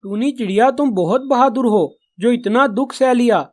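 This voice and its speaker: A man's voice: very high-pitched, normal speed. Children's cartoon pigeon , male actor: responsible elder brother of the flock, earnest, caring, explanatory. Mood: sympathetic, admiring. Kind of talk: Hindi children's cartoon dialogue.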